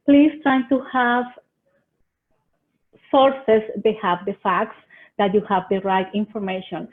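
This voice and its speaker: Colombian-accented woman on a tinny call. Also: medium pitch. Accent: Colombian Spanish. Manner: earnest, gives advice in short phrases with some hesitations. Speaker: extension agent presenter. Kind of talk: webinar presentation giving tips. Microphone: video call. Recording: tinny phone-like video call audio.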